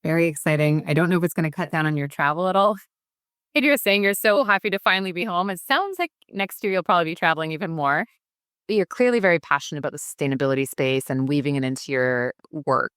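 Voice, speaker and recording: A woman hosts a podcast and asks her guest thoughtful questions in a relaxed, conversational way. Her voice sits very low for a woman. The recording is dry and close. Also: fast, clean tone, dry recording. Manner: attentive, asks reflective questions. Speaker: podcast host. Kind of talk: casual interview talk.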